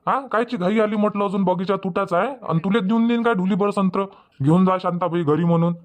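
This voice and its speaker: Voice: mid-range voice, fast talker, lightly acted. A performer voicing an argumentative male farmer in a comic cartoon, with a rustic Varhadi Marathi accent and grumbling manner. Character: easygoing but argumentative male village farmer husband. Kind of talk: comic cartoon dialogue dubbing.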